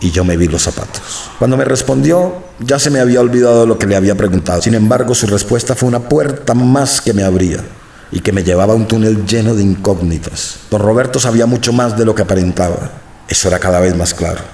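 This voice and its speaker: An actor narrating in first person as an anxious young priest in a radio drama, reflective and measured. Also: male voice, on the low side, vocal fry, a little mellow. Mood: uneasy. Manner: reflective, measured inner monologue. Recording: roomy, distant, faint noise.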